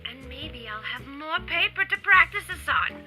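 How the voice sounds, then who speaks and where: A woman's voice: high-pitched, animated, normal speed, music in the background. A woman vlogging casually at home, chatty and upbeat, a little stuffy from a cold. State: stuffy from a cold, occasional coughing. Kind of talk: casual chat to camera. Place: home in New York City.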